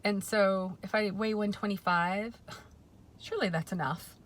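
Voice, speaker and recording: A woman in her forties talking in a chatty, reflective way in a personal vlog, often pausing with um and correcting herself. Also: mid-range voice, fairly even intonation. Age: 40s. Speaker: vlogger.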